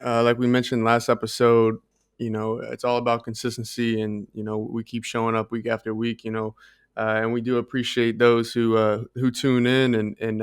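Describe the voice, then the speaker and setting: Smooth, even-toned man in casual chat. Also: mid-range voice, dry recording, studio quality. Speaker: podcast co-host.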